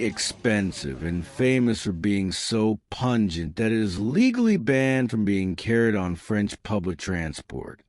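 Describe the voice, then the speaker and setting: Slow, low-voiced male storyteller. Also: no room sound, clean studio sound. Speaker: storyteller narrator. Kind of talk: scripted comic storytelling narration.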